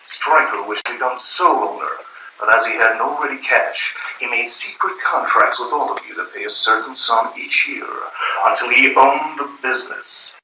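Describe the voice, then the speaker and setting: A man playing a calm, grim masked crime fighter in a live old-time radio drama, cool and commanding. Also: medium pitch, normal speed. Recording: roomy, distant live stage recording with faint hiss.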